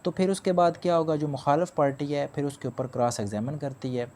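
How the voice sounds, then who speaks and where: Dark-voiced man explaining law. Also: fairly high pitch, fairly even intonation, fairly quick, a little hiss or hum. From Pakistan. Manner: explanatory, repeats and rephrases points, mixes English legal terms. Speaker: law lecturer. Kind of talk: spoken law lecture.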